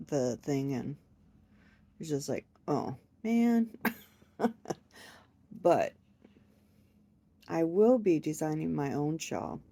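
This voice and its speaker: A woman chatting casually to camera about her crochet projects, hoarse and stuffed up from a cold, rambling and laughing at herself. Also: sits low, warm tone, no room sound. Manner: rambling, self-deprecating with frequent laughs. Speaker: crochet vlogger.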